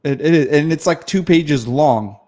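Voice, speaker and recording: A man's voice: on the high side, moderate pace, darkish tone. A man co-hosting a casual political podcast, incredulous and joking in an irreverent way. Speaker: podcast co-host.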